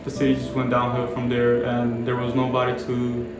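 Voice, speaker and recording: Flat-toned young man, echoey room. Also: medium pitch. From Dominican Republic. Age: 20s. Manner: measured and explanatory. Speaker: planning graduate and local resident. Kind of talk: documentary interview. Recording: very echoey room with music behind.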